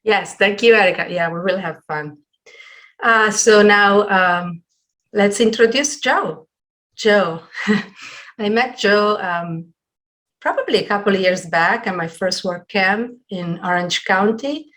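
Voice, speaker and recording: A middle-aged woman hosting a webinar on a video call from a small room at home, introducing things in a halting, partly read way. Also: medium pitch, fairly bright tone. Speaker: webinar host. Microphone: computer video call.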